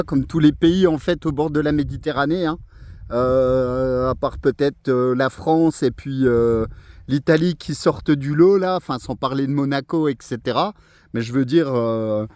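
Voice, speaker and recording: A man's voice: mid-range voice, darkish tone, no room sound. A man chatting on amateur radio, talkative and hesitant, with many fillers. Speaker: ham radio operator. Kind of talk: casual radio chat.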